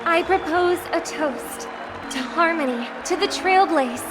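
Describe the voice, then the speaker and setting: Poised young festival hostess, high voice, measured formal speech, video game acting, music in background. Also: female voice. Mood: gracious, ceremonial. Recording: orchestral game music behind.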